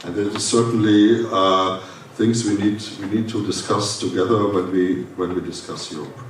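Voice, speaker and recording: A German-accented man delivers a conference welcome in a low voice, hesitating and restarting with frequent fillers. He stands away from the mic in a big echoing hall, with a faint hiss underneath. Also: fairly even intonation, recorded from a distance. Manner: halting, many "uh" fillers and restarts. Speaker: foundation director giving a welcome speech. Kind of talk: formal welcome speech at a conference.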